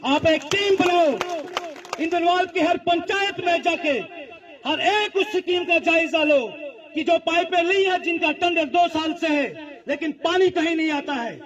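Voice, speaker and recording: A man's voice: extremely high pitch, a bit bright. A man gives a political speech at a public rally, speaking in emphatic, repetitive phrases. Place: public address before a gathering. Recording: dull lo-fi audio, crowd sounds.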